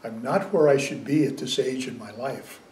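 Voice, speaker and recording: An older man giving a dharma talk as a Zen teacher, conversational and gently humorous. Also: medium pitch, moderate pace, slight background noise. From United States. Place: small meditation room indoors. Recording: roomy, distant sound.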